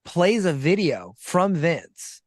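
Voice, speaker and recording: A man hosting a casual video call, talking excitably and repeating his words. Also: fairly high pitch, takes it slowly, no room sound, studio quality.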